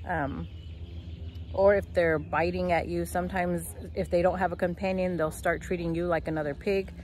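A woman's voice: on the low side, steady tone, normal speed, a little mellow. A young woman chats straight into a phone held at arm's length, outdoors beside a shed. Her talk is loose and explanatory, full of ums and restarts, with faint outdoor hiss and birds behind her. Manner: chatty, explaining with fillers and restarts. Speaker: homestead vlogger. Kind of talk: casual vlog talk to camera. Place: outdoors by a shed in a fenced yard.

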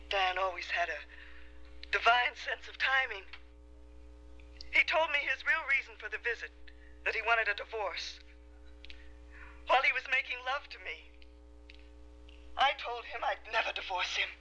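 A piercingly bright, anguished wronged wife. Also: female voice, mid-range voice, slightly rough, poor audio. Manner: intense, emotional, confessional delivery. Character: distraught woman claiming to be a murdered wife reborn. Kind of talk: dramatic film or television acting.

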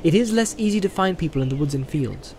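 A man voicing a careful, earnest young male hobbit leader in an audiobook, speaking in a measured, firm way with a slightly raised pitch. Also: lively intonation, darkish tone, slight background noise. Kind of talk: audiobook character voice.

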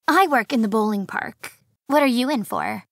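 A woman playing a blunt, unimpressed young woman in a story, with a deadpan, wry manner. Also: fairly high pitch, normal speed, slight background noise.

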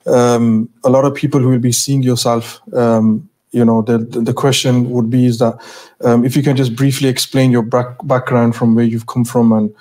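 Halting, even-toned male podcast host. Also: mid-range voice. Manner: halting, with frequent hesitation. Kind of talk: hesitant podcast introduction. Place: small podcast studio around a table. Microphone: close studio mic on boom arm.

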